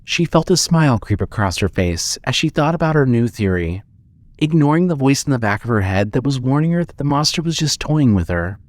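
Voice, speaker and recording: A man reads scripted horror fiction as the narrator. His pitch sits in the middle range. His delivery is measured and dramatic, in the manner of a storyteller. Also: animated, dry recording.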